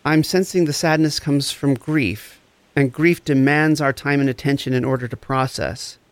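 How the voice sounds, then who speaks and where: An actor playing a gentle, encouraging empath therapist, speaking in a reassuring, deliberate way in a scripted audio drama. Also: male voice, mid-range voice, steady tone, no room sound, close to an everyday voice. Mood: calm, supportive. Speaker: actor in a fiction podcast.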